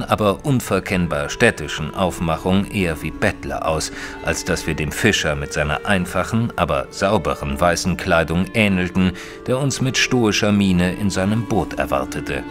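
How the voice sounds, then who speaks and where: A man playing a reserved Victorian gentleman, a detective's companion, narrating an audio drama in a measured, formal way. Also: low-pitched, expressive, music in the background. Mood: measured, descriptive.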